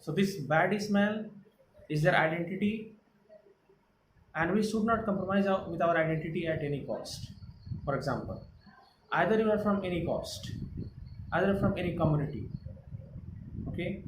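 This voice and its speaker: Indian English male teacher, slightly high voice, earnest and halting, echoey room with noise. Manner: earnest, repetitive, with frequent hesitations. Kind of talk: explaining a textbook chapter.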